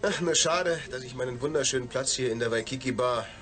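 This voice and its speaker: A man's voice: mid-range voice, a little fast, fairly bright tone, faint music behind, lightly acted. An actor playing a cheerful, tipsy male astronaut chatting in a radio play. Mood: cheerful, chatty.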